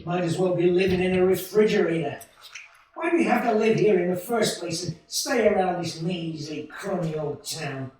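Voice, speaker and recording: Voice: medium pitch, normal speed, a little mellow, natural voice. A short-tempered husband and father at breaking point, played by an actor in a vintage-style radio drama. The voice is heard off mic in a heavily echoing space. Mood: bitter, irritable. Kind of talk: 1940s-style radio play acting. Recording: very echoey room, distant from microphone.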